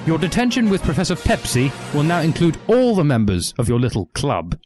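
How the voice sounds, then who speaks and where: A man's voice: a little high, sing-song, a little fast, a little mellow, soft background music, voice pushed up. A man voicing a stern older female schoolteacher with a screeching delivery, in a satirical story.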